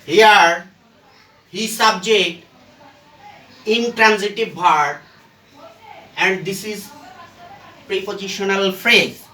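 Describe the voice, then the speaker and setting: A teacher giving a step-by-step grammar lesson in short, halting phrases, asking and answering their own questions, recorded from a distance in an echoey room. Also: mid-range voice, pushed and bright, a little hiss or hum. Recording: echoey room, far from the mic.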